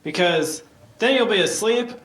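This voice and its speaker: A man's voice: high voice, lively intonation, a little slow, background noise. A man playing an oblivious, earnest sitcom character who delivers a wedding toast as stand-up comedy. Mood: earnest, jokey. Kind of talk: sitcom acting, speech to an audience. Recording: echoey microphone in a room.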